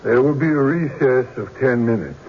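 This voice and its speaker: An actor playing a formal, authoritative courtroom judge in a radio drama. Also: male voice, mid-range voice, lively intonation, moderate pace, natural voice. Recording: noisy, dull old radio recording.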